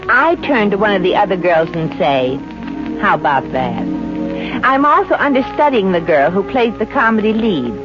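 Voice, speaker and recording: A woman's voice: mid-range voice, natural voice. A woman playing a wisecracking, friendly young Brooklyn showgirl with an American accent in a 1950s radio comedy, wry and slangy. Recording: muffled old radio recording with music.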